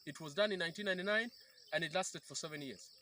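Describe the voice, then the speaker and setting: Interviewgast, Mann in den Dreißigern aus dem Südsudan, erklärt eindringlich und setzt oft neu an; leise Insekten im Hintergrund. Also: male voice, fairly high pitch, quick pace, bright, forceful tone, slight background noise. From South Sudan. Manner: earnest, explanatory, with frequent restarts. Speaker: peace activist guest. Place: outdoors by a river in rural bushland.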